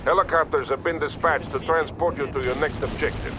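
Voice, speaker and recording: An actor playing a stern, composed Soviet army colonel with a Russian accent, giving measured orders in a military video game. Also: male voice, a little high, fairly even intonation, close to an everyday voice. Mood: composed, commanding. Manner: authoritative, measured, commanding. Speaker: military commander character. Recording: battle gunfire noise behind voice. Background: gunfire, artillery and vehicles.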